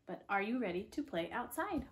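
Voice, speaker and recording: A woman in her thirties reading a picture book to children, with soft-spoken asides and questions to her listeners. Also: on the high side, very animated, brisk, a little hiss or hum. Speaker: read-aloud storyteller for children. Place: living room, indoors. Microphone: camera a few feet away.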